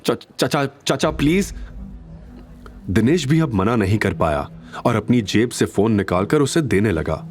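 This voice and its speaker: A man's voice: fairly low pitch, big pitch swings, normal speed. A man narrating a story in a dramatic, scripted audio series style.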